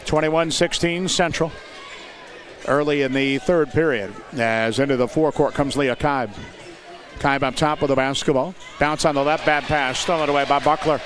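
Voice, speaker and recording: An American man doing live play-by-play commentary of high school basketball in clipped, descriptive phrases. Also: fairly even intonation, moderate pace. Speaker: play-by-play announcer. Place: indoor high school gymnasium. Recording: noisy gym with loud crowd. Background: crowd noise and bouncing basketballs.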